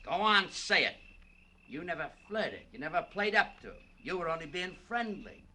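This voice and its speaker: An actor playing a bossy, irritable American fight-racket boss with slangy talk in a 1940s radio drama. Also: male voice, high-pitched, big pitch swings, normal speed, bright, forceful tone, full character voice. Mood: angry. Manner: gruff, bullying, slangy. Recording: hissy vintage radio broadcast recording.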